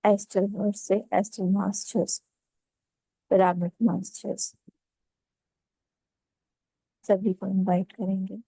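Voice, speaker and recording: Woman, meditation guide, soft dark mellow tone, even unvaried pitch, calm short phrases. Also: vocal fry, no room sound, poor audio. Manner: calm, unhurried, short pause-separated phrases. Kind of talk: guided meditation, slow spoken instructions.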